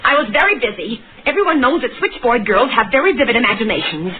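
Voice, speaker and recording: A woman's voice: fairly high pitch, expressive, quick pace, husky. An actress playing an evasive, defensive married woman suspected of a crime in an old radio drama. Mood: guarded, defensive. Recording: muffled, noisy old radio broadcast.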